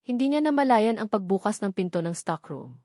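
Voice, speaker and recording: A woman's voice: medium pitch, expressive, brisk, dark tone, no room sound, studio quality. A woman reading a scripted romantic drama as a story narrator. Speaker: narrator of audio love stories.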